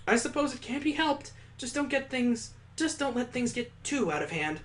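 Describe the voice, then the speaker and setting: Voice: mid-range voice, very animated, moderate pace, a little hiss or hum, voice pushed up, natural voice. A performer voicing a refined, formal young lady in a visual novel, polite and proper, with ornate wording. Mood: prim.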